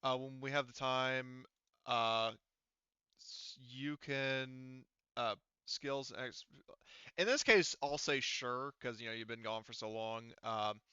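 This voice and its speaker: A man speaking casually as game master at an online tabletop game, thinking aloud with halting delivery. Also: medium pitch, steady tone, pushed and bright, smooth, dry recording, muffled recording.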